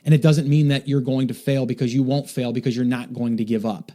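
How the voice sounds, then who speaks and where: A man's voice: mid-range voice, fairly even intonation, fairly quick, dark tone. A man hosting a solo podcast, talking earnestly and encouragingly to listeners, often repeating himself for emphasis. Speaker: podcast host.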